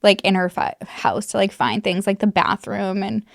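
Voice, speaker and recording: A woman's voice: mid-range voice, lively intonation, moderate pace, creaky, no room sound, clean studio sound. A woman recounting a true crime case in a casual, chatty way, full of fillers and the odd swear word. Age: adult mother.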